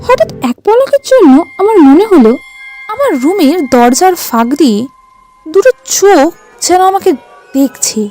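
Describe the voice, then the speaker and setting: A woman narrating a first-person story in a suspenseful, expressive, theatrical way. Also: very high-pitched, moderate pace. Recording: music bed under the voice.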